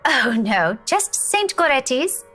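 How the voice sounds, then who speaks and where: An actress playing a feisty, bookish young history teacher, polite but quick-witted, in a visual novel game. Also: female voice, sits high, very animated, a bit bright, faint music behind, full character voice. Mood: friendly, curious.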